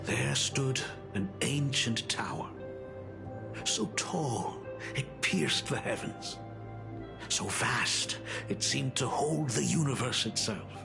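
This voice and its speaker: An actor playing a gentle, ageing artist father and academy dean in a video game, speaking solemnly and tenderly with hushed, breathy phrases. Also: mid-range voice, expressive, takes it slowly, music in the background. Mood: wistful storytelling. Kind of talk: dramatic video game voice acting.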